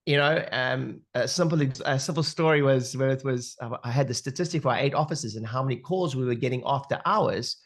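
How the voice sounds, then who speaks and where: South African guest speaker, animated, chatty, on a video call. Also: male voice, mid-range voice, fairly quick, few pauses. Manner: animated, filler-heavy storytelling. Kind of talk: webinar talk.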